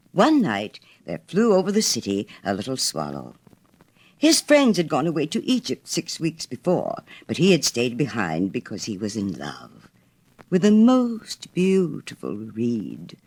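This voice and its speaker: A woman narrating a storybook in a theatrical, expressive manner. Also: rumbling low, breathy edge. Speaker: audiobook narrator.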